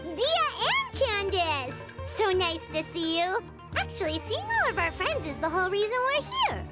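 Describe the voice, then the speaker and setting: Game casting: tiny floating fairy sidekick, extremely high childlike voice, wildly animated, chirpy and eager. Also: female voice, moderate pace, heavily acted. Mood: excited. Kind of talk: video game voice acting. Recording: music behind the voice.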